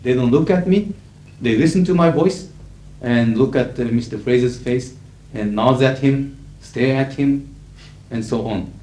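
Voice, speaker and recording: A middle-aged man with a Japanese accent, a native Japanese speaker, lecturing as an interpreter in a measured, emphatic way with frequent fillers. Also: mid-range voice, warm tone. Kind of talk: lecture to an audience. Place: lecture hall. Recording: echoey 1980s hall recording with faint hiss.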